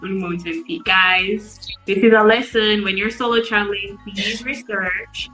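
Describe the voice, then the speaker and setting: A woman's voice: mid-range voice, fairly even intonation, moderate pace, pushed and bright, soft background music, rough recording. A woman hosting a live podcast, upbeat, asking eager questions. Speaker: podcast host.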